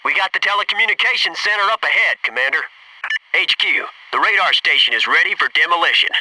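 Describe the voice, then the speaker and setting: An actor playing an alert, loyal Navy SEAL squad soldier in a military shooter game, giving clipped reports and dry remarks. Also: male voice, on the high side, lively intonation, fast, recorded from a distance, noisy background, natural voice. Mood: focused, businesslike.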